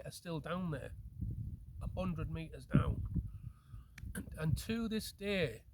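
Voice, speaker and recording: A man with a slightly high voice tells local folklore as a storyteller in conversation. He repeats and reworks his phrases as he goes, often seeking agreement. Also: vocal fry, a little hiss or hum, low-quality recording. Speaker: storyteller, host.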